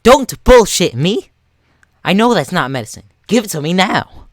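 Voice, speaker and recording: A man's voice: sits high, big pitch swings, moderate pace, dry recording, studio quality, voice pushed up. A teenage boy voicing an entitled, angry mother in a story, indignant and accusing. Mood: angry shouting. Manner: indignant, accusing, bursting into shouts.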